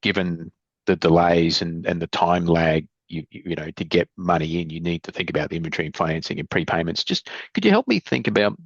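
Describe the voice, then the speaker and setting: A man's voice: sits low, expressive, brisk, vocal fry, husky, dry recording. A male analyst asking conversational questions on an investor call, hesitating and stammering as he rephrases them, over dull call audio.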